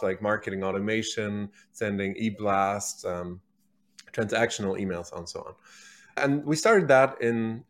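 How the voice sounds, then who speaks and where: Male executive guest, thirties, German accent, lowish voice, relaxed conversational interview storytelling. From Berlin, Germany. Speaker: podcast guest, company executive.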